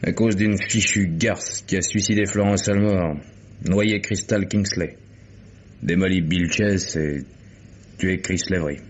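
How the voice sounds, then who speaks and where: An actor playing a world-weary, sardonic male private detective in a 1950s French radio mystery, speaking deliberately with dramatic pauses. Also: low voice, steady tone. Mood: bitter, weary. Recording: muffled vintage radio drama recording.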